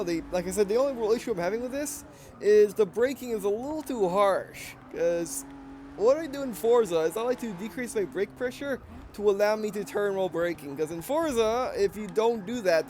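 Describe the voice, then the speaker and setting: A man with a mixed Southern and Russian accent talks through a racing game in a slightly high voice. He rambles and corrects himself between excited reactions. Engine and game sounds run loudly behind him. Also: moderate pace, a little hiss or hum. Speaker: let's play gamer. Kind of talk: casual gameplay commentary.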